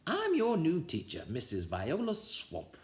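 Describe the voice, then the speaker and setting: A strict, nasty witch of a schoolmistress from a children's storybook, acted out by a man. She snaps and hisses her orders in a raised pitch, commanding and unpleasant, with big swoops in tone. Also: huge pitch swings, measured pace. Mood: stern, menacing. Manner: snapping, hissing, commanding. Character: strict, unpleasant witch of a substitute teacher, woman.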